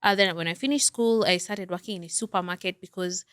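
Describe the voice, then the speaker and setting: A woman's voice: lowish voice, quick pace, clean tone, dry recording, clean studio sound. A young woman from Kenya, co-hosting a podcast, talking chattily and telling stories from her own life. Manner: chatty and animated, telling stories with faith remarks. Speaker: podcast co-host. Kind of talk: casual podcast chat.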